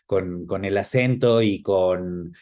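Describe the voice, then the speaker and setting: Low, mellow-voiced Ecuadorian man. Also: takes it slowly. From Quito, Ecuador. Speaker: interview guest. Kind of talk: reflective conversational reminiscence.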